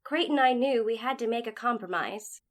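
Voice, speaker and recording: Woman acting as higher-voiced head chef. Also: normal speed, natural voice. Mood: candid, weary. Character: tenacious female house chef being interviewed. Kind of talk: mockumentary interview in an audio drama.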